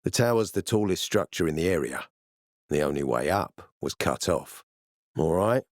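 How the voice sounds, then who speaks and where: A man playing a gruff, weary military officer in a video game, speaking with measured authority. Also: very deep voice, expressive, no room sound, studio quality. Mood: commanding, measured. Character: gruff, weary military officer, commanding, later a regretful father. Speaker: game character voice actor.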